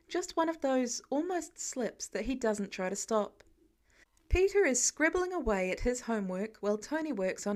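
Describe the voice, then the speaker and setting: A woman with a fairly high voice narrates a written story. She reads scripted fiction in the manner of an audiobook.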